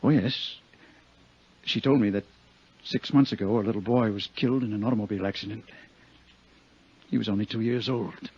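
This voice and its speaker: An actor playing an earnest, gullible small-time actor in his thirties, halting and defensive, in a 1950s radio drama. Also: fairly low pitch, steady tone, a little mellow. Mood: defensive, wounded. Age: 30s. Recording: muffled, slightly rough 1950s radio recording.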